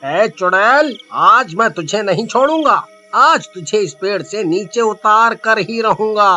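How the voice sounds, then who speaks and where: Man acting a scoffing village husband, bright and high. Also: lively intonation, a little slow, faint music behind, heavily acted. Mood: angry, bold. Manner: scoffing, exasperated. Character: dismissive, bold village husband, easily exasperated. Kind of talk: animated horror story acting.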